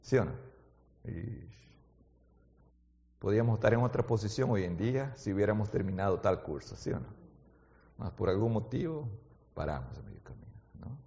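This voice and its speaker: A man preaching a sermon in a language that is not his first one, as his native Portuguese slips in. He shifts between quiet, intimate talk and loud, fervent exhortation, and keeps asking his listeners questions. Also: lowish voice, lively intonation, moderate pace, gravelly, pauses often, big-room sound, highs cut off. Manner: asks the audience frequent questions.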